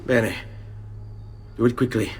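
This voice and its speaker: A man's voice: medium pitch, steady tone, slow pace, slight background noise, lightly acted. A man voicing a determined young Italian nobleman in a video game, direct, earnest and impatient, with an Italian accent. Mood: resolute, grateful. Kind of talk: dramatic video game dialogue acting. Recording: music behind the voice.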